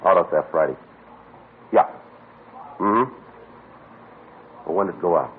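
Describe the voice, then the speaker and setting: An actor playing a clipped, deadpan police detective sergeant who narrates his case in a 1950s radio drama. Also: low voice, measured pace. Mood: dry, patient. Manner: clipped, deadpan and matter-of-fact. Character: terse male police detective sergeant, middle-aged. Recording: thin, lo-fi old radio broadcast with hiss.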